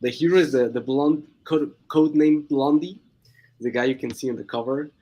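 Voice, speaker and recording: A man's voice: medium pitch, moderate pace, warm tone. A young man with a Swiss-Mexican accent, a guest chatting casually on a live stream, laughing often and restarting words. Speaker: guest comic creator.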